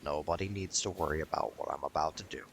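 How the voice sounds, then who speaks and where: A man with a low, fry-heavy voice commenting casually on his game. He rambles, swears and jokes as he plays, with some game noise behind him. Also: fast talker. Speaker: gaming YouTuber.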